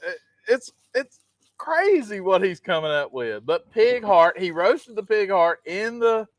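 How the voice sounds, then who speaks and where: A man's voice: high voice, sing-song, a little slow, no echo. A man with a Southern Mississippi accent, chatting casually as a cooking show co-host and reading out viewer comments. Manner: laid-back drawl, laughs often, reads viewer comments. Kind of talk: casual chat.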